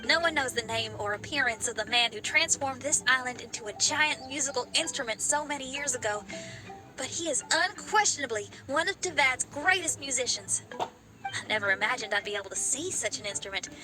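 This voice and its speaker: A woman's voice: high voice, fairly quick, music in the background, natural voice. A woman playing a spirited, passionate young female rock musician in a video game. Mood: heartfelt, confident. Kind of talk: English video game voice acting.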